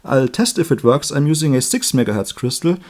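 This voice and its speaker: A man with a German accent, a native German speaker, giving an informal hands-on electronics tutorial in a chatty, halting way, correcting himself as he works. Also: medium pitch, animated, a little mellow. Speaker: electronics hobbyist demonstrating a circuit build. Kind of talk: informal hands-on tutorial, explaining while working.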